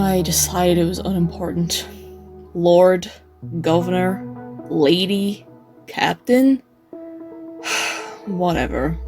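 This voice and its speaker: A woman plays a world-weary wasteland tour guide, a sardonic man narrating his own story in a comic dub. The voice is fairly low, dry and brooding, with some music underneath. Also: warm tone. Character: weary, sarcastic male tour guide surviving nuclear wasteland.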